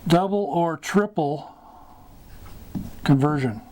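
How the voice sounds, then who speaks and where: A middle-aged man giving a casual tutorial as host, speaking haltingly in short phrases with pauses. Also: on the high side. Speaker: host of a hobby radio tutorial. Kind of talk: casual whiteboard explainer. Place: small wood-paneled indoor room. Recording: clear background noise, room ambience.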